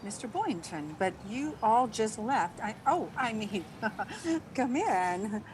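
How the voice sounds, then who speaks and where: An actress playing a wry, flustered schoolteacher woman in an old-time radio comedy, halting and theatrical. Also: a little high, very animated, noisy background. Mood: flustered, apologetic.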